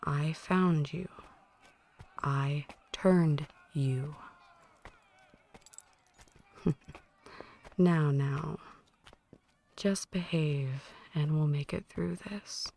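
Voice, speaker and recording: Woman acting as possessive vampire mistress, low-pitched, very slow, breathy whispers, menacing and teasing, intimate ASMR roleplay. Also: a little mellow, full character voice. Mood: possessive, coaxing. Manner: slow, breathy delivery slipping often into whispers.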